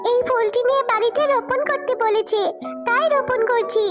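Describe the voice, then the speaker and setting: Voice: very high-pitched, fairly even intonation, fairly quick, over loud music. A performer voicing a poor, whiny and eager little girl in a children's cartoon story. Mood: explaining, eager.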